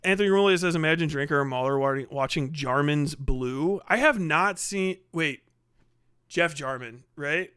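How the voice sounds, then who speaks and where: A man giving profane, casual livestream commentary, rambling and thinking out loud as he goes. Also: a little high, moderate pace, smooth, no echo, clean studio sound. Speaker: live streamer reacting to videos.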